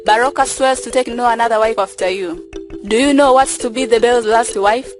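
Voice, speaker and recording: A woman's voice: fairly high pitch, a little fast, close to an everyday voice. A woman playing the coaxing senior wife of a village chief in a student stage drama, with a declamatory delivery. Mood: persuasive. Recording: loud music under the voice.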